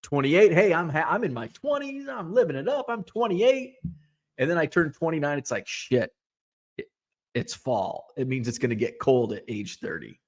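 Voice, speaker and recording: A man's voice: on the high side, sing-song, moderate pace. A man in his forties, a sports card seller hosting his own show, thinking aloud in casual, rambling solo talk full of restarts.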